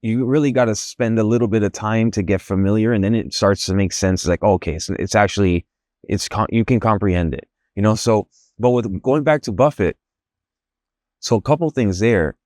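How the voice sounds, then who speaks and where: A man hosts a podcast and asks his questions in a halting, thinking-aloud way, restarting his phrases often. His low voice is recorded close and dry, with no room echo. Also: a little mellow. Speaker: podcast host. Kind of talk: podcast interview.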